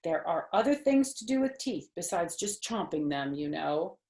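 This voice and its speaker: A woman's voice: on the low side, sing-song, moderate pace, a little mellow. A woman voicing a patient, puzzled father in a storybook, reassuring and explaining a little hesitantly. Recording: roomy, echoey home recording.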